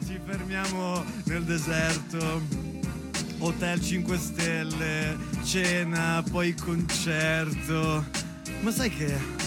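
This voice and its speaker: A man playing a naive, excitable young Sudanese man in a stage monologue, chattering eagerly and repeating himself. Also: a little high, drawn-out words, loud music behind the voice. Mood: excited, boastful. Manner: breezy, repetitive, colloquial, eager. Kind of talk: theatrical monologue, one-sided chatter.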